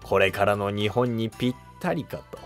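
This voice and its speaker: An actor playing a humble, cautious monk who advises an emperor in formal, deferential court speech in a historical drama. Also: male voice, on the low side, moderate pace, soft background music, close to an everyday voice. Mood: calm, advising. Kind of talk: Japanese historical drama dialogue.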